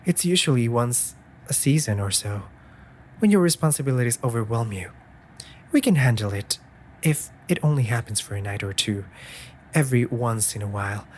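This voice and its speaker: A man playing a caring, anxious raven familiar in a comforting audio roleplay, articulate and gently scolding in formal phrases. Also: medium pitch, expressive, normal speed, a little hiss or hum, natural voice. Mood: worried.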